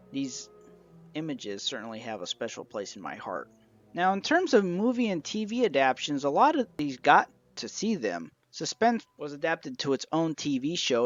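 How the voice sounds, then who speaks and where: A man giving an informal review monologue, with somewhat halting phrasing and occasional stumbles. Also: medium pitch. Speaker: amateur reviewer of old radio shows. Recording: dull lo-fi recording, music behind. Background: music.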